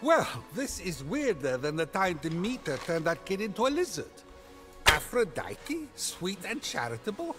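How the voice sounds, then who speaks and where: A man's voice: high-pitched, wildly swooping pitch, moderate pace. An actor voicing a petulant, boastful king of the gods in a comic video game, theatrical and full of indignant bluster. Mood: smug, defensive. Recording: music behind the dialogue.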